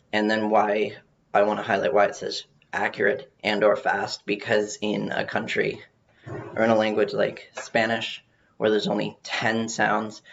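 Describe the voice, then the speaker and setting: A man giving an informal research presentation, speaking haltingly with some repeated words, on muffled audio. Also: fairly low pitch, vocal fry. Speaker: presenter on learning to read.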